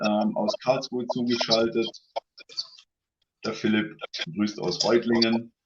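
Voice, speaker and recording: A man's voice: mid-range voice, highs cut off. A man hosting a casual livestream tasting, chatty and full of fillers, greeting viewers by name.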